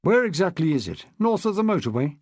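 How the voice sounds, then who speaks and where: A man's voice: high-pitched, big pitch swings, brisk, darkish tone, dry recording, clean studio sound, pitched above the performer's own voice. A man voicing a middle-aged detective who fires pointed questions. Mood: probing.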